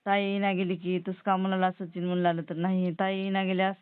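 Grieving woman, flat plaintive phone voice. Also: on the low side, normal speed, clean tone, dry recording. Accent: Marathwada Marathi. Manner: plaintive, repetitive. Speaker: bereaved mother. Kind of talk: lamenting phone conversation. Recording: tinny phone call recording.

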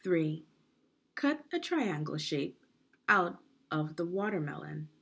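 A woman reading aloud to children as a teacher would, in a guided lesson style with deliberate phrasing. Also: deep voice, sing-song, creaky, fairly bright tone, slightly rough. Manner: deliberate teacherly phrasing, asks the listener questions. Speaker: education consultant reading aloud.

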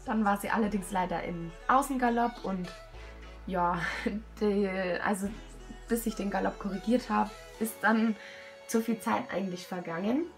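Hoarse young woman with a cold, chatty voice-over, lots of ums, faint music behind. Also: medium pitch, moderate pace. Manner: self-critical, chatty, many fillers. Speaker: rider commenting her own show-jumping test video. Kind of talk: casual video commentary. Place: at home, voice-over.

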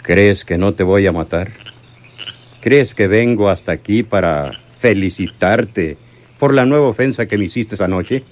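An actor playing a menacing, lovesick crime boss in a radio drama, speaking deliberately and bitterly. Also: male voice, on the low side, close to an everyday voice. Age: older. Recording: noisy old radio drama recording.

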